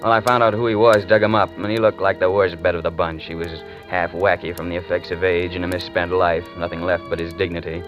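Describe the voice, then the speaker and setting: An actor playing a world-weary, stubborn investigator in a radio drama, with a slangy, wry and terse delivery. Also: male voice, sits low, level tone, fast talker, few pauses, lightly acted. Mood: wry, weary narration. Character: world-weary hard-boiled male investigator in love, stubborn. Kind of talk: 1940s radio drama acting, first-person narration. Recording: muffled old radio recording, music behind.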